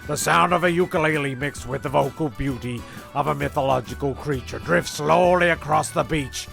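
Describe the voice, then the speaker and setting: A man's voice: on the high side, normal speed, gravelly, a bit bright, faint music behind. A man playing a dry, fussy narrator in a comedy audio drama, with a slight stammer.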